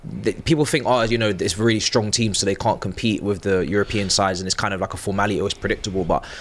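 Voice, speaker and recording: A man's voice: fairly low pitch, steady tone, fast, a little hiss or hum. A man guest in a football chat, hesitant and repeating words as he talks.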